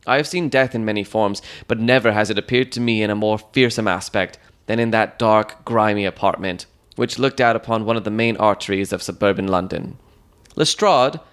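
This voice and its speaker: Low-voiced man narrating a mystery. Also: fairly bright tone, smooth. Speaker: audiobook narrator. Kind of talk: scripted dramatic narration of a Victorian mystery.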